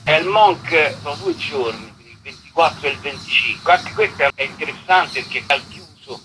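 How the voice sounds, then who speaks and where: Bright-voiced man, hesitant, faint noise. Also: fairly high pitch, animated, moderate pace, low-quality recording. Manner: hesitant, repeating words as he thinks. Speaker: interviewed writer and stage actor. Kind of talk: casual interview chat.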